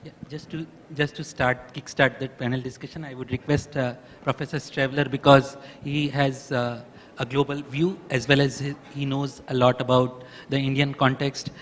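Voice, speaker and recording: Flat-voiced man moderating a panel. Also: mid-range voice, normal speed. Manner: hesitant, many fillers while framing a question. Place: large conference hall. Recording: reverberant hall with faint background noise.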